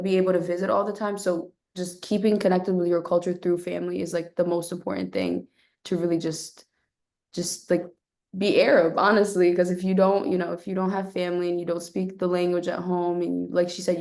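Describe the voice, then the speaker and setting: A woman's voice: lowish voice, fairly even intonation, brisk, darkish tone. A young woman, a university student, speaking casually in an interview with frequent "like" and "you know". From United States. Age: young adult. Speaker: interviewee, student society president.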